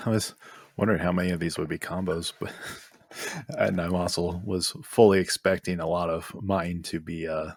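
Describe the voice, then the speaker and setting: A man on a podcast panel chatting casually, often halting as he searches for his words. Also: low-pitched, fairly even intonation, no room sound. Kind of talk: casual chat.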